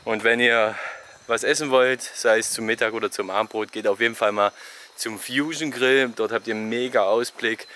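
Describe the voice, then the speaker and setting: A man's voice: medium pitch, normal speed, slight background noise. A young man vlogging while he walks through a rainforest park, filming himself at arm's length and casually giving travel tips. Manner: relaxed, chatty, giving tips. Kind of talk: casual walking vlog commentary. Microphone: selfie camera at arm's length.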